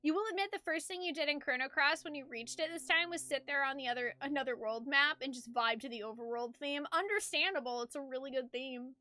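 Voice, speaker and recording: A woman's voice: sits high, fast, few pauses, pushed and bright, no room sound. A woman streaming a game, chatting playfully and reading the story aloud with expression. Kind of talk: live stream chat mixed with expressive story narration.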